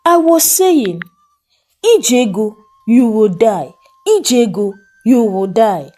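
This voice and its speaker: A woman's voice: a little high, lively intonation, takes it slowly, dark tone. A woman voicing a jealous, scheming mother of a grown son in a folktale, emphatic and commanding in manner. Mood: defensive.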